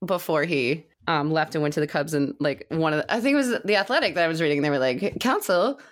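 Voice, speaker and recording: A woman's voice: sits low, quick pace. A woman chatting casually and enthusiastically as a co-host of a baseball fan podcast. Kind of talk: casual chat.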